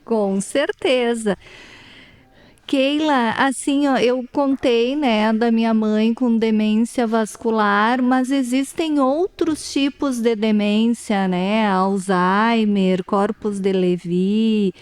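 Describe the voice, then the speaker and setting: A woman, interviewing in a thoughtful, personal, unhurried way. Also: on the high side, a little mellow, smooth. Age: 40s. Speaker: interviewer, educator. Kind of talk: reflective conversational interview.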